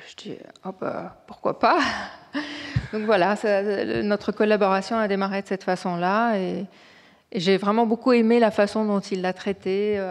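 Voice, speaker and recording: A woman's voice: medium pitch, darkish tone. Hesitant woman telling an anecdote. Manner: hesitant storytelling, many fillers. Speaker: comics author on a panel. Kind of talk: conference panel anecdote. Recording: reverberant lecture hall.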